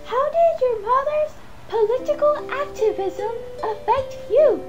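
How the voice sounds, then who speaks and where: A woman's voice: very high-pitched, unhurried, dull audio, loud music behind the voice. A teenage girl voicing a cheerful young doll who hosts a time-travel show in a school doll play. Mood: eager. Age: teens. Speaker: student.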